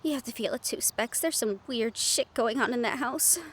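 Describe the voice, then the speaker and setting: A woman's voice: fairly high pitch, normal speed, a little hiss or hum, natural voice. An actress playing an anxious, sweary young woman haunted by a ghost, exasperated and conversational in an audio roleplay. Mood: wary, firm.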